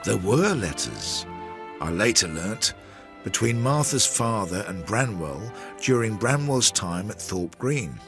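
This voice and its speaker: Male narrator for radio drama: reflective Victorian investigator, low register with wide inflection, understated delivery. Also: big pitch swings, slow, over music, heavily acted. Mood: measured, wry. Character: measured Victorian investigator recounting a failed case.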